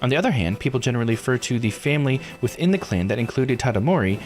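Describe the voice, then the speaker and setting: Man putting on a high-pitched, polite researcher voice. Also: expressive, very fast, soft background music, natural voice. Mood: explanatory. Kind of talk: character voice reading game dialogue.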